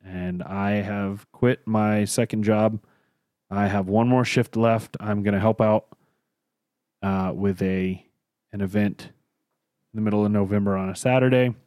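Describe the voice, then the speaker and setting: A man's voice: fairly low pitch, fairly even intonation, unhurried, a little mellow, dry recording, clean studio sound. Man with a cough, rambling. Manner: rambling, loses his train of thought. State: coughing, tickly throat. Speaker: podcast co-host. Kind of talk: casual chat.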